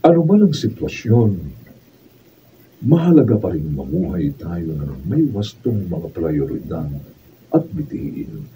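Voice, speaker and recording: A man with a Filipino accent narrating a radio drama and its credits in a solemn, deliberate, dramatic way. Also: low voice, sing-song, mellow. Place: radio drama recording studio. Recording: distant pickup with faint noise.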